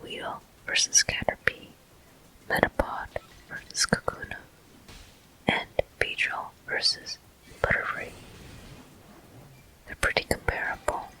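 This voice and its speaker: Very slow, breathy ASMR whisper. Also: poor audio. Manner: hushed, unhurried, gently explanatory, pausing between short phrases. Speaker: gameplay host. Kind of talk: whispered ASMR game walkthrough commentary.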